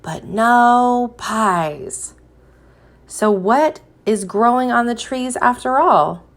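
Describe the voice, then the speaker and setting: A woman reading a picture book aloud like a teacher, pausing to put questions to young listeners and encourage them. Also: a little high. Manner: slow, deliberate, encouraging prompts.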